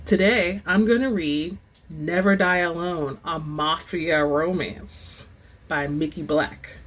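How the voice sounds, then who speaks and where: A woman hosting and reading book excerpts in a dramatic, expressive storytelling style, with informal asides. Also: lowish voice, slow, a little hiss or hum. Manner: theatrical, expressive; informal, says y'all. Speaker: host and reader of book excerpts.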